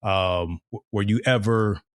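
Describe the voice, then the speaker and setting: A man's voice: lowish voice, steady tone, measured pace, no room sound. A man hosting a podcast interview, prompting his guest with frequent affirmations and rephrased questions. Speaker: podcast host. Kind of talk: casual interview.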